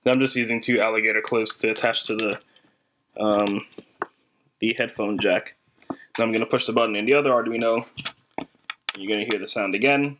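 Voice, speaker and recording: A man's voice: on the low side, steady tone, brisk, pauses often. A young man giving a casual, informal tutorial walkthrough as a hobbyist demonstrator, a little hesitant as he goes. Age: young adult. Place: small indoor room at a desk. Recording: faint background noise, clicks.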